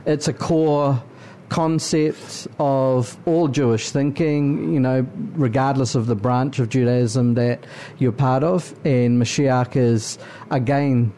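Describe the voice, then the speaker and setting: Mellow-voiced New man, earnest. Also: mid-range voice, steady tone, slight background noise. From New Zealand. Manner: earnest, measured, thoughtful. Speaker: podcast guest. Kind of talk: conversational interview.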